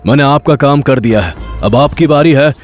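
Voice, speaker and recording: A man's voice: mid-range voice, normal speed, lightly acted. Guy acting stern proud young man w hidden pain, audio drama, controlled delivery, bit of music behind. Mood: cold, assured. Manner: cold, controlled, commanding.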